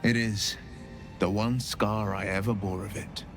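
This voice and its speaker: An actor playing an earnest, solemn young nobleman adventurer bound by a devil's pact, telling things in a measured way for a video game. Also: fairly low pitch, steady tone, music in the background, lightly acted. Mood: somber. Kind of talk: video game dialogue acting.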